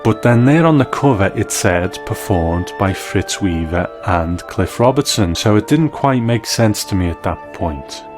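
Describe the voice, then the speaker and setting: A man's voice: on the low side, expressive, a little slow, hardly any pauses, over music. A man hosting a documentary podcast, reading scripted narration. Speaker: podcast host and interviewer.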